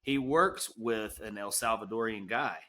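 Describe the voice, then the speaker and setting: A man's voice: fairly low pitch, a little slow, sharp tone. A man hosting a podcast, reading a scripted intro and then interviewing a guest casually. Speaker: podcast host.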